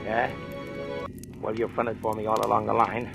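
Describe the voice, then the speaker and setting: An actor playing a tough, suspicious escaped convict in an old radio crime drama, with blunt gangster slang. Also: male voice, on the low side, monotone, normal speed, vocal fry, over music. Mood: grudging, calculating.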